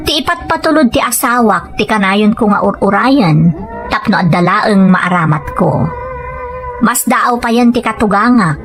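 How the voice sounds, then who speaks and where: A woman playing a soft-spoken, coaxing wife with a gambling vice in an Ilocano radio drama, in measured, confiding phrases. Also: medium pitch, natural voice. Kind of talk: Ilocano radio drama acting and first-person narration.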